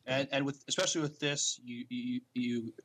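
A man co-hosts a podcast in a medium-pitched voice. He mixes casual chat with reading from prepared notes. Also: level tone, moderate pace, a bit bright. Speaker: podcast co-host.

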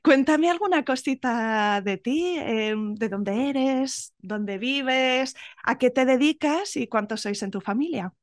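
A woman hosting a podcast, welcoming her guest and asking gentle questions. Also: high-pitched, slow pace, few pauses. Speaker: podcast host. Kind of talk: podcast interview.

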